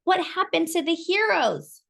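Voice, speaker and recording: A woman's voice: extremely high pitch, very animated, unhurried, creaky, fairly bright tone, voice pushed up, exaggerated voice. A woman voicing a curious, eager young girl who asks many questions.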